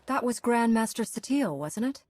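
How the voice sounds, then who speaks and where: A woman playing a composed, sceptical Jedi hero in a space-opera video game, speaking in a measured way. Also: on the high side, lively intonation, a little mellow, no echo, faint music behind. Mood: curious. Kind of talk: video game dialogue acting.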